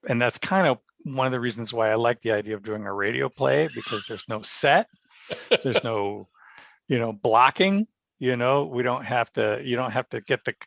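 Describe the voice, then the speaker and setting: A man telling stories casually as an interview guest, animated and self-effacing. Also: mid-range voice, normal speed, gravelly. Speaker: guest, radio theatre director. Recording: dull, muffled lo-fi roomy sound.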